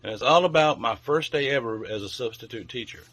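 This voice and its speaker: A man with a mid-pitched voice speaks to young children as a bedtime storytelling host. He addresses his listeners directly, in simple storybook language. Some noise sits behind the voice. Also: fairly quick, a bit bright. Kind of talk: friendly introduction, then read-aloud children's storytelling.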